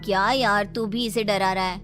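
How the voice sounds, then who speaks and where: A woman's voice: mid-range voice, steady tone, normal speed, music in the background, lightly acted. A woman voicing a skeptical, cheerful young college friend in a horror cartoon, with an emphatic, chiding manner. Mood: chiding, upbeat.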